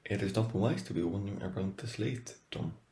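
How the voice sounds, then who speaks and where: A man playing a grave, middle-aged wizard professor in an audio drama, measured and formal. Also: fairly low pitch, dark tone, close to an everyday voice. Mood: grave, probing.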